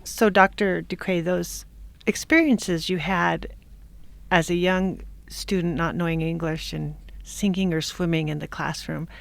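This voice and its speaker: A woman interviewer asking measured, inquiring questions. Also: on the low side, fairly even intonation, vocal fry.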